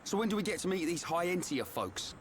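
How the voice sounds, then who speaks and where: A young fighter in a fantasy game cutscene. His voice is a bit high, and he talks casually and bluntly, like a good-natured lad who is slow to catch on. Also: very animated, slight background noise. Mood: sheepish, upbeat. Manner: casual, plain-spoken. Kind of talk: video game cutscene acting.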